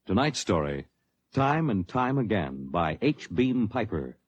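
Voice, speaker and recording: Low, dramatic 1950s radio announcer man. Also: big pitch swings, unhurried, breathy edge, dull audio. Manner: dramatic, declamatory. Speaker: announcer and narrator. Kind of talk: scripted 1950s radio narration. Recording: old radio broadcast, loud music.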